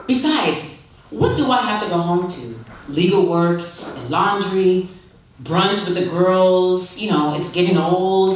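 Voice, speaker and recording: A woman reading a literary short story aloud at a live event, expressive and unhurried, with dramatic pauses. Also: lowish voice. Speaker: story reader. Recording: echoey room, distant microphone.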